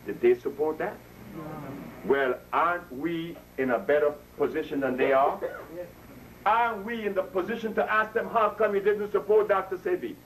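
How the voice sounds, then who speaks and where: A man in his seventies giving an impassioned lecture to an audience, preaching and drawing call and response from listeners. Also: medium pitch. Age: 70s. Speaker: speaker addressing an audience. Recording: distant mic, faint room noise.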